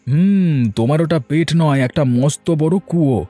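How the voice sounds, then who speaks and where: Man acting high-voiced grumbling poor farmer husband. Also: a little slow, dark tone. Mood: scornful. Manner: sarcastic, complaining. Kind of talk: Bengali cartoon dialogue. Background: background music.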